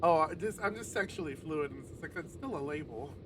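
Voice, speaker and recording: A man's voice: on the high side, expressive, quick pace. A man reading a visual novel aloud on a livestream and adding casual, sweary commentary. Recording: noisy livestream with clear background noise.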